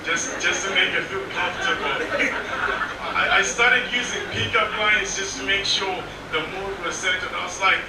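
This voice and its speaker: Halting, high-pitched young male stand-up comic. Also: steady tone. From Zimbabwe. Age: young adult. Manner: halting, stammering, awkward delivery. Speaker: stand-up comedian. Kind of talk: stand-up comedy set. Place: small comedy theatre stage. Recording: noisy, echoey live club, distant mic.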